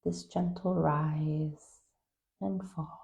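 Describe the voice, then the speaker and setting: A woman guides a meditation in a low, mellow voice with a touch of gravelly fry. Her delivery is calm and gentle, offering soft instructions and leaving long pauses between short phrases. The melody stays very even throughout. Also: dull audio.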